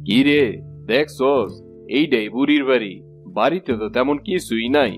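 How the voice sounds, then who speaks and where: A man's voice: medium pitch, moderate pace, soft background music, close to an everyday voice. A man voicing a scheming, boastful village thief in a cartoon tale. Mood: sly. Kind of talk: cartoon fairy tale acting.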